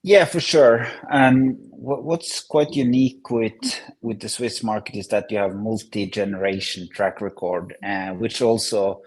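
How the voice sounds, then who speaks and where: A man answering on a live panel, talking haltingly with frequent restarts. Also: fairly low pitch, darkish tone, husky. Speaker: company executive on a panel.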